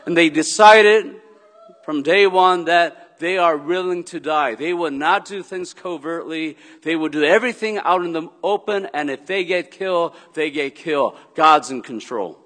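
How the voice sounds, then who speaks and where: A pastor giving church announcements and telling a story in a rambling, storytelling way, with many fillers and restarts. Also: male voice, fairly high pitch, normal speed, fairly bright tone. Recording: long hall reverb.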